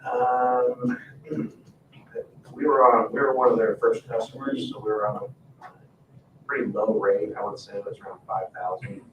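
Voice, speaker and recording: Man, town staffer at a meeting, halting and matter-of-fact; echoey, distant pickup. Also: on the low side, level tone, highs cut off. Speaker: town staff member presenting agenda items. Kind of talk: informal presentation at a council meeting. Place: indoor town council meeting room. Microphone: distant room microphone. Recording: echoey meeting room, distant mic, faint noise.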